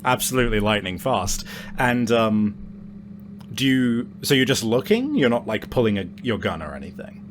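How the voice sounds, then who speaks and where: A man's voice: mid-range voice, sing-song, moderate pace, a little hiss or hum. A man acts as game master for a tabletop roleplaying game. He improvises his narration casually and swears freely as he describes scenes to his player.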